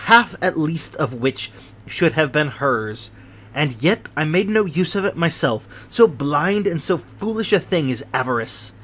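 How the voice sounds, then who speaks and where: A man voicing a dying, greedy old army major who confesses his guilt in a slow, heavy delivery. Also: fairly high pitch, a bit bright.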